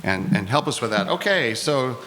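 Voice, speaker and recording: Mid-pitched man, chatty moderator, in a reverberant hall with faint noise. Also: big pitch swings, normal speed, fairly bright tone. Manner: chatty, joking, many restarts. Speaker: workshop moderator. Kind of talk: moderating a live community workshop. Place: community hall, live event.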